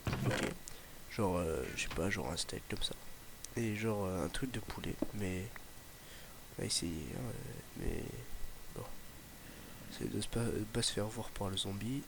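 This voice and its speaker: A man's voice: lowish voice, a little slow, vocal fry, long pauses. A young man with a slight Ardèche accent, chatting casually over his gameplay and rambling with many fillers and restarts. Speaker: gaming YouTuber. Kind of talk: casual Let's Play commentary. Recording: noisy home mic recording.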